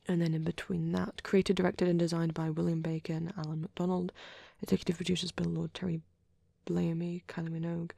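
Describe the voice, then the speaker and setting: A shy young vampire boy played by a woman rambles softly in an ASMR roleplay. The voice is lowish, breathy and creaky, hesitant and close in a dry space, often sinking toward a whisper. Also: no room sound. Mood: gently enthusiastic. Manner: soft-spoken, hesitant, often dropping into whispers.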